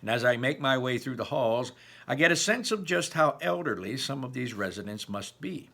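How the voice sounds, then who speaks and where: A man reading a novel aloud in an expressive, emphatic, informal way, like a teacher, with personal asides. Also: medium pitch, normal speed, creaky.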